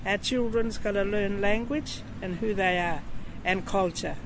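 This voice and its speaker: A woman's voice: mid-range voice, a little slow. A woman in her sixties from Central Australia, speaking directly and emphatically in an interview outdoors by a street. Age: 60s. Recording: street noise behind.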